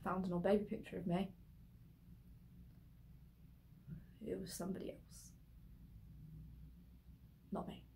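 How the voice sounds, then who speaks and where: A woman acting a stage monologue as a despairing grown daughter explaining herself to her mother in halting, hesitant phrases. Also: lowish voice, flat delivery, gravelly, a little mellow, slight background noise. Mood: wistful, tender.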